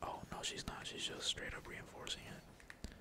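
A gamer speaks entirely in a breathy whisper, giving casual ASMR commentary on their play. The talk is slangy and peppered with swearing, while computer keys clack behind it. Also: normal speed. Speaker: gaming video creator. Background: computer keyboard typing.

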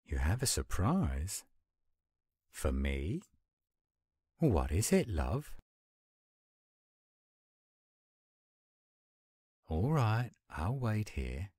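A man voicing an affectionate, gruff-but-sweet werewolf boyfriend in a tender, intimate romantic audio roleplay. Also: a bass voice, a little mellow, no echo, studio quality, exaggerated voice. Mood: eager, playful.

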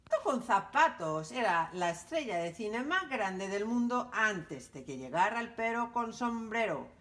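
A woman reading a children's picture book aloud for library storytime, in an animated, sing-song storytelling manner. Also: medium pitch, unhurried.

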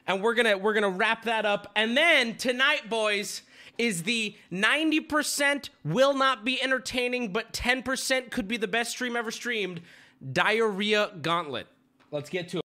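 A man's voice: very high-pitched, a little slow, bright, forceful tone. A man in his thirties hosting a livestream from home, crude and joking with sudden yelps, and sniffly from a stuffy nose. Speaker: streamer host. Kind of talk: casual livestream chat. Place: home streaming room. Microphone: studio mic on boom arm with headphones.

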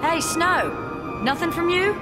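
A woman's voice: very high voice, lively intonation, takes it slowly, exaggerated voice. A woman playing a brash, blunt, tough warrior in a video game. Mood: challenging. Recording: loud game music behind the voice. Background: game music.

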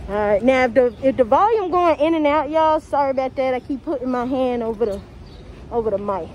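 A woman's voice: high-pitched, animated, fairly quick. A young American woman chatting casually and slangily to viewers as she vlogs, her voice slightly muffled by a face mask. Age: young adult. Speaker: vlogger. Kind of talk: casual vlog chat to viewers. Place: outdoors, walking on city streets and waterfront. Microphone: phone camera held at arm's length. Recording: dull phone audio, outdoor street noise.

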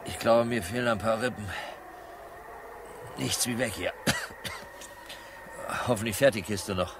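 An actor playing an energetic, wry detective sidekick in a German crime radio play. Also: male voice, on the low side, a little slow. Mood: rueful. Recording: clear car noise in the background.

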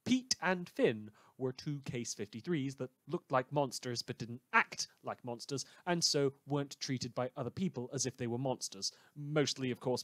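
A man's voice: mid-range voice, a bit bright, dry recording, lightly acted. A man playing a smug, rambling small-town radio news host in a fan audio drama, theatrical and wry, repeating phrases for emphasis.

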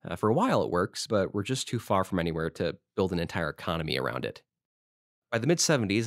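A man's voice: low-pitched, dry recording, studio quality. A man playing an eager small-town historical society president in an audio drama, telling stories in an informal, rambling way. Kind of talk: conversational audio drama monologue.

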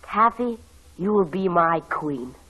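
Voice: mid-range voice, expressive, slow. A performer playing a shy, literal-minded young boy in a 1940s radio drama. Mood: hesitant, earnest.

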